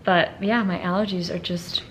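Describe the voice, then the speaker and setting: A woman's voice: lowish voice, steady tone, normal speed, slight background noise, rough recording. A young woman chatting casually to the camera at home, upbeat and rambling, sounding congested and a little nasal from allergies. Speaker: lifestyle vlogger.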